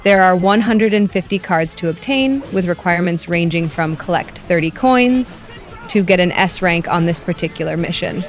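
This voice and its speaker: A woman with a fairly low voice narrates a scripted game review over music. Her delivery is dry and critical, with a wry edge. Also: lively intonation, moderate pace.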